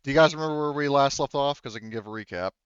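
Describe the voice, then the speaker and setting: A man's voice: mid-range voice, rapid-fire, clear, resonant tone, no room sound, lo-fi sound. A man hosting a tabletop roleplaying session as game master, explaining things informally and a little hesitantly.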